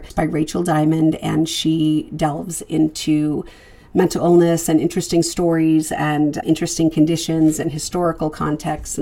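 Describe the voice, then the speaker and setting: A woman with a low, gravelly voice chatting informally to listeners at the top of an indie podcast episode. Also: dark tone. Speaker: podcast host and producer. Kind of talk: casual spoken podcast introduction.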